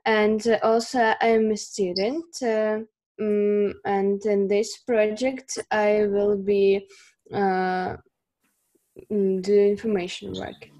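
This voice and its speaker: Slow, hesitant young woman. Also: mid-range voice, flat delivery, warm tone. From Russia. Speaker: student and volunteer. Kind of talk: self-introduction on a video call.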